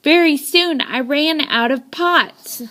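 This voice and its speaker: A woman reading a children's story aloud with dramatic, deliberate emphasis, telling it in a child's first person. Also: sits high, a bit bright. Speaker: storybook reader.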